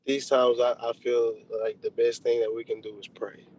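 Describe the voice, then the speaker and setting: A man's voice: medium pitch, level tone, normal speed, a little mellow, a little hiss or hum, low-quality recording. A young man being interviewed as a rapper, chatting casually in slang over a video call. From Texas, United States. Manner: slangy, conversational. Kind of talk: casual chat.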